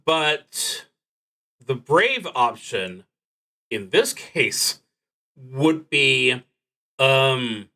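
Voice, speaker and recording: A man streams a solo tabletop game, thinking aloud as he goes. His voice sits at a middle pitch with a bright, forceful edge. He takes his time, halting and deliberate, and drops in dry asides. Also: big pitch swings, poor audio. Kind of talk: live play narration, thinking aloud.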